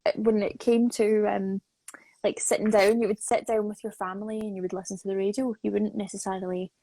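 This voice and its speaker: A woman's voice: medium pitch, flat delivery, a little fast, warm tone, dry recording, poor audio. Dry-sounding young woman chatting casually. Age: 20s. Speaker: interview host, associate producer. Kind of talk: casual live online chat.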